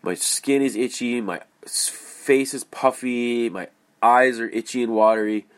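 A man's voice: mid-range voice, measured pace. A man with a stuffy, congested voice hosting his podcast in a rambling, casual way, full of fillers and restarts. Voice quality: nasal, stuffy. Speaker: podcast host. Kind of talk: casual solo podcast monologue.

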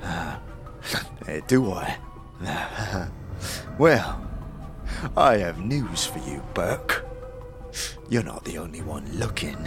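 A man's voice: sits low, expressive, drawn-out words, long pauses, over music, pitched below the performer's own voice, exaggerated voice. Man playing a panicky, stammering cowardly thug. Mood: sly, taunting. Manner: stammering, nervous chuckles.

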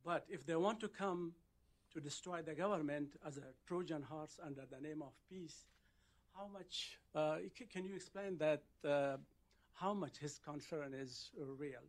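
Guy from afghanistan asking a question at a briefing, kinda high voice, lots of uh's and pauses. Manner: halting, with frequent "uh" pauses.

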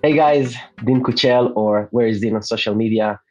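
A man's voice: mid-range voice, moderate pace, dull audio, soft background music. A man in his late thirties from Israel, a native Hebrew speaker, chatting casually as an interview guest with frequent restarts. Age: 30s. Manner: relaxed, restarts often, light laughs. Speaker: podcast guest, digital nomad.

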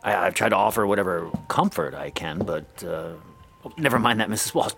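An actor playing a flustered young small-town priest who stammers and restarts, in a radio drama. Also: male voice, on the low side, expressive, gravelly, fairly bright tone. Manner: stammering, halting. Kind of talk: 1930s southern gothic radio drama.

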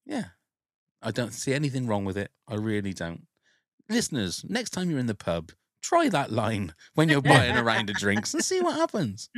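A British man hosts a casual podcast in a very dry-sounding space. His pitch sits in the middle, and his talk is jokey and loose with plenty of swearing. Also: wildly swooping pitch, moderate pace, fairly bright tone, dry recording. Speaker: podcast host.

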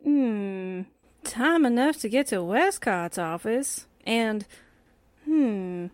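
Woman acting curious lady sidekick, 40s radio play, high swoopy voice, slow n musing. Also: animated, darkish tone, dry recording, studio quality. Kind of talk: 1940s radio drama acting.

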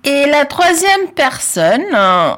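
A woman's voice: high voice, very animated, slow. A middle-aged Italian woman with an Italian accent, answering interview questions in a talkative, reflective way with many hesitations. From Italy. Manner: talkative, reflective, with frequent hesitations and soft laughs. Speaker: interview guest. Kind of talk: personal conversational interview.

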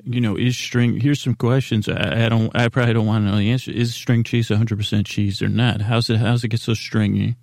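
A man hosting a sleep podcast in a casual, meandering monologue, halting and mumbling in a self-deprecating way. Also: lowish voice, flat delivery, creaky, darkish tone, no room sound, clean studio sound. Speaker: podcast host.

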